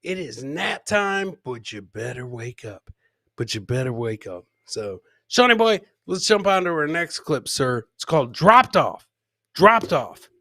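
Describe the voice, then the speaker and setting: A man's voice: fairly high pitch, big pitch swings, measured pace, bright, forceful tone, no echo, clean studio sound. An American man in his forties doing casual, exclamatory live-stream commentary as a comedy host, often repeating his catchphrases. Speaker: comedian hosting a live clip show. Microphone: close boom studio mic with headset.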